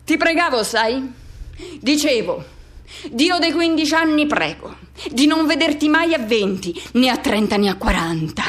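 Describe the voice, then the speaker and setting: A woman acting a radio theatre monologue as a yearning, tormented man recalling first love, in short, halting, insistent repeated phrases. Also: sits high, lively intonation. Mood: pleading. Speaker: actor.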